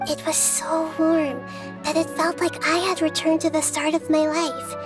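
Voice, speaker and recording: A woman voicing a gentle, childlike girl deity in a video game, speaking in an earnest, measured and reflective way. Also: sits high, flat delivery, over music. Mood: wistful, reflective. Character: gentle, wise, childlike girl deity guiding her people. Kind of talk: scripted video game character dialogue.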